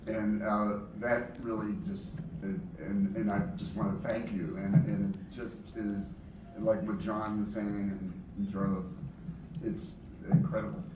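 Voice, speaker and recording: Male panelist, low and soft-spoken, echoey. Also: flat delivery, unhurried. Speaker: actor on a convention panel. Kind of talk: panel talk. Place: convention panel hall. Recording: echoey hall, distant mic, background noise.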